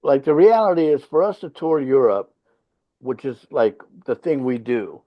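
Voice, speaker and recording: A man's voice: medium pitch, animated, normal speed, muffled recording. A man from Finland whose first language is Swedish, a rock band leader chatting as a podcast guest, blunt and profane, hammering home his points. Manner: blunt, profane, emphatic storytelling. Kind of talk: casual interview chat.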